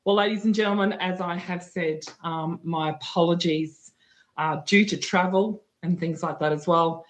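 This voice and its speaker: A woman with a husky, tired voice hosting a livestream news talk, chatty and full of fillers and restarts. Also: lowish voice, steady tone, moderate pace. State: husky voice from talking too much. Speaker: guest news presenter.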